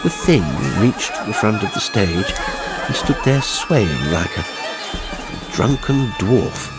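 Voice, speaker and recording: A man reading a horror audiobook as narrator, with deliberate, suspenseful, dramatic storytelling. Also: on the low side, expressive, over a noisy crowd. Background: music and sound effects.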